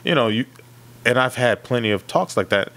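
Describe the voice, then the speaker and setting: A man's voice: medium pitch, expressive, a little fast, fairly bright tone. A man co-hosting a podcast, talking casually and feeling his way through a point. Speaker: podcast co-host. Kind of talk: casual discussion.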